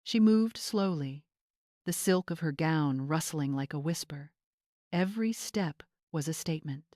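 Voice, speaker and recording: A woman with a slightly low voice narrates dramatic fiction. Her delivery is measured and suspenseful, and the recording is dry and close. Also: lively intonation, a little mellow, no echo. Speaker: story narrator.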